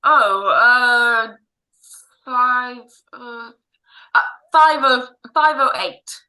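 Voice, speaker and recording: A flustered stranger in a radio play, acted by a woman. The voice is high and sharply bright, and the words come slowly, with apologetic stammers. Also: heavily acted, rough recording. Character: flustered, polite stranger asking for directions. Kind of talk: old-time radio drama acting.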